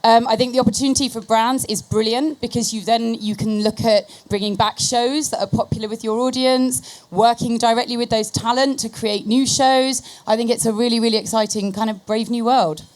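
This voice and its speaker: A British woman with a fairly high voice speaks as a panelist. She is giving her view in a conference discussion. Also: fairly even intonation, a little fast, hardly any pauses, darkish tone.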